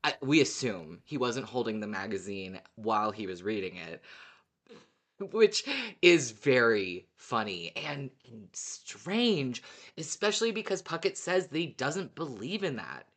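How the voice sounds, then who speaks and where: A podcast co-host chatting casually about a show, in a halting way with frequent false starts. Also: mid-range voice, sing-song, fairly bright tone. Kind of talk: casual fan discussion podcast.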